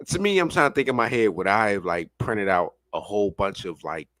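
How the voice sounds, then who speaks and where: A man's voice: lowish voice, very animated, fairly quick, a bit bright, no room sound. A man giving casual, rambling, slangy reaction commentary with an amused manner. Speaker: reaction streamer.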